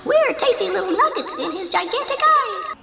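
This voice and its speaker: A tiny squealing Martian. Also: male voice, big pitch swings, moderate pace, vocal fry, heavy background noise, exaggerated voice. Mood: alarmed. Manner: shrill, squealing.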